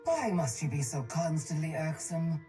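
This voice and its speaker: An actress playing a ruthless, wealthy middle-aged villainess in a video game, with a silky, condescending, theatrical delivery. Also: female voice, sits low, lively intonation, normal speed. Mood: irritated disdain. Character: ruthless, rich chemical-baroness villain, smug and calculating. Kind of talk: video game character voice lines. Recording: game voice clips over music.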